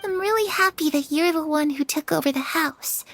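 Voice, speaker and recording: A woman voicing a gentle, trusting young girl in a video game, earnest and softly wistful. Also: high-pitched, dry recording. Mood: grateful, wistful. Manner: soft, unhurried, earnest. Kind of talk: dramatic video game acting.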